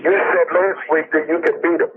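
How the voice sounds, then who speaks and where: A man's voice: fairly high pitch, flat delivery, brisk. A man calling a radio show, arguing in an insistent, challenging way. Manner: insistent, challenging, tags remarks with "eh". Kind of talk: argumentative call-in chat. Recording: tinny phone line on old radio.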